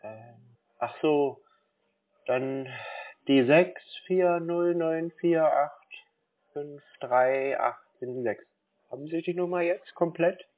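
Slow, dithering man on a dull line. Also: a little high. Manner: dithering, halting, repeats himself. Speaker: scam baiter playing a gullible customer. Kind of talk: phone call, slow put-on pensioner act. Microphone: phone call. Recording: dull band-limited phone line.

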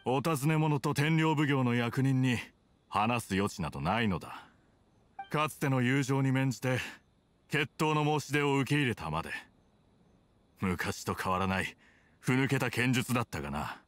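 A man playing a cold, proud, gruff samurai officer in a Japanese anime game. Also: mid-range voice, animated, moderate pace, fairly bright tone, soft background music, close to an everyday voice.

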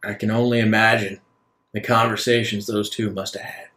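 A man voicing an aged, philosophical leader in a tabletop game, speaking solemnly and deliberately with pauses. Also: fairly low pitch, little pitch movement, fairly bright tone, close to an everyday voice. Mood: reflective. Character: aged male leader, measured, grave, philosophical, persuasive. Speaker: game master. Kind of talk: in-character tabletop roleplay.

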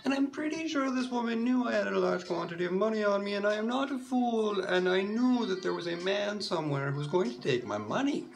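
A woman's voice: mid-range voice, animated, brisk, gravelly, few pauses, crowd noise. A woman telling a comic story in a stagey put-on voice, with mock-formal phrasing, stammered repetitions and whispered asides. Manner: puts on a stagey persona accent.

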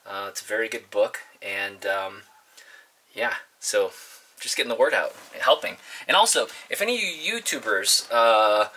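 Bright-voiced man chatting casually to viewers. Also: on the low side, animated. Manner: informal, halting, thinking aloud. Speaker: vlogger. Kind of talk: casual, rambling vlog chat to viewers. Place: inside a parked converted school bus.

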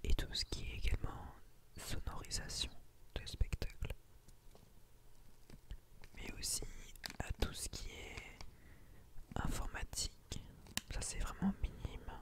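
A woman whispering breathily for an ASMR video in short, hushed phrases, close to the microphone, addressing her viewers. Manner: slow, hushed delivery with short broken phrases. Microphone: close microphone on a boom arm.

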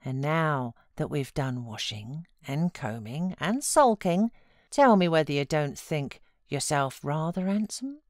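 Woman playing a frank, low-voiced British housekeeper. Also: expressive, darkish tone, no echo, clean studio sound. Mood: earnest encouragement. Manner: brisk advice, coaxing and chiding.

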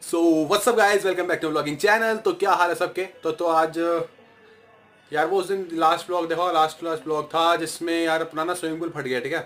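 A man's voice: a little high, steady tone, normal speed, over music. A young man in his twenties chatting casually to his phone camera as a vlogger, leaning on fillers. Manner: chatty, repeats "theek hai" as a filler. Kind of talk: casual vlog chat. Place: small indoor room. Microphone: phone camera at arm's length. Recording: noisy street while riding.

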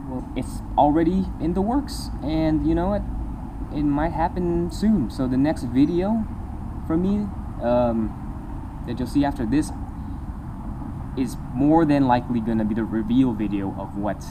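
A man's voice: medium pitch, measured pace, background noise. A young man chatting casually in a motorcycle vlog, rambling and laughing often, recorded on a small camera in an open-air park shelter. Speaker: motovlogger. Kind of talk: casual talking-head vlog. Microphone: compact camera on a table nearby.

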